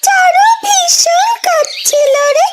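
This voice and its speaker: A woman's voice: very high-pitched, slow. A woman voicing a small, tender-hearted pet bird in a cartoon, plaintive and worried. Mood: sad, worried. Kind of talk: cartoon voice acting. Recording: very noisy background with clicks.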